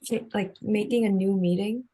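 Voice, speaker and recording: A woman's voice: mid-range voice, fairly even intonation, moderate pace, warm tone, rough recording. A woman interviewing a guest over a video call, asking questions politely and hesitantly. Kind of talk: oral history interview.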